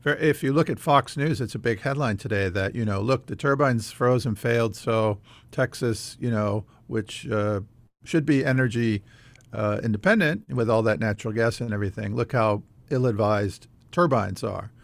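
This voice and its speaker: A man's voice: lowish voice, moderate pace, vocal fry, no echo. An American man hosting a casual interview, speaking in a curious, conversational way. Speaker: host.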